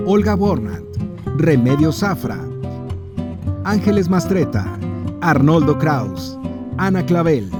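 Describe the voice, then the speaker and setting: A man reading a scripted advertisement as an event promo announcer. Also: a little high. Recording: loud guitar music over the voice.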